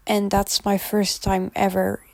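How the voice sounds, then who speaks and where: A teenage girl with a Dutch accent talks in a loose, rambling way, often pausing on "um". Her voice has a mid pitch and a gravelly fry. The audio is dry, recorded on a phone she holds in her hand. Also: monotone, a little mellow, dry recording. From Netherlands. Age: teens. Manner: rambling and chatty, with frequent ums. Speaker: gaming channel creator. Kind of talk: casual voice-over chat over a speed build. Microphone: phone held in hand.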